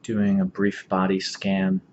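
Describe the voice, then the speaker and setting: Low, even-voiced man guiding meditation. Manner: calm, unhurried, gentle phrasing with long pauses. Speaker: meditation instructor. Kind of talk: guided meditation, body scan instruction. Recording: dull lo-fi audio, faint background noise.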